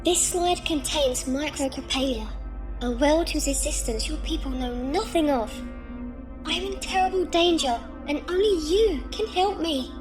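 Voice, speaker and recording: A woman's voice: high-pitched, unhurried, music in the background. An actress playing a tiny, pleading young woman from a microscopic world in a melodramatic audio drama. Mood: urgent, entreating.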